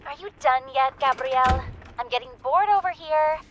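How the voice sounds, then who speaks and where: High-pitched bubbly young sidekick. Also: female voice, moderate pace, dull audio, slight background noise. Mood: bored, teasing. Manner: playful, excitable. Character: bubbly, impatient, teasing young female sidekick. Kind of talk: video game voice acting.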